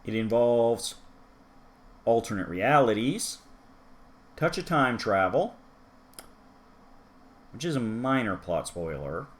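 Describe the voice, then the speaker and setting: A man's voice: mid-range voice, animated, slow pace, pauses often. A man in a middle register talks through a manga review in a loose, rambling way. He drifts into side remarks to the viewer and circles back to his point. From United States. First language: English. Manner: chatty and rambling, with asides to the viewer. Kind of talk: casual solo review talk.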